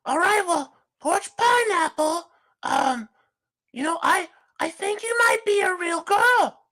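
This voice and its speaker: A man voicing a gushing woman host in falsetto on a comedic livestream, starting almost every line with "well". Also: animated, slow, bright, forceful tone, exaggerated voice. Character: shrill fake woman hostess, gushing. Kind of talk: comedic livestream character bit.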